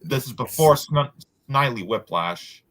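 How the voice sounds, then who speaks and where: A man reads aloud in a bright voice with lively swings in pitch. He drops in casual asides, and now and then trips over a word and says it again. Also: a little slow. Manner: stumbles over words and repeats them. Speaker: host of a group read-aloud.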